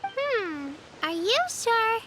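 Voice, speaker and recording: Bright, very high-pitched little girl. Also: big pitch swings, drawn-out words, soft background music, exaggerated voice. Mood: thoughtful, doubtful. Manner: childlike, polite, earnest. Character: sweet, helpful, precocious little girl herb gatherer. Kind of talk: anime-style video game voice acting.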